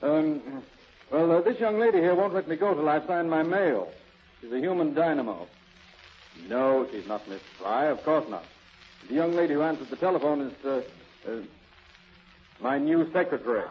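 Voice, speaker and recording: A dull, lo-fi old radio recording carries a brusque male bank president. He is formal and blustery but easily flustered, his fairly high voice moving freely in 1930s drama style. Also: expressive, normal speed, pauses often, husky. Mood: genial, flustered.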